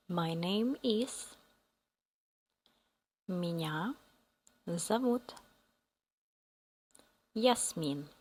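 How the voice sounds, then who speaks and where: A woman with a Russian accent teaching a beginner language lesson, repeating each phrase slowly for learners to copy. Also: mid-range voice.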